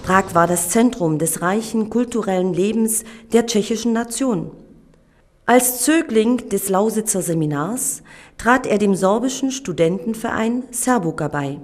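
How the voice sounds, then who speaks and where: Slow, measured woman narrator. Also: medium pitch, animated. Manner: measured, solemn reading. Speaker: documentary narrator. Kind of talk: scripted documentary narration.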